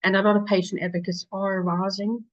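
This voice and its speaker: A woman's voice: medium pitch, little pitch movement, quick pace, no echo, highs cut off. A woman with a South African accent presenting her charity in a webinar, hesitant with many fillers. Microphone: video call.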